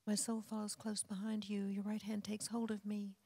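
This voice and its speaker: A woman reading psalms and doxologies in a flat, even liturgical chant. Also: mid-range voice, moderate pace, vocal fry. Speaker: liturgical reader.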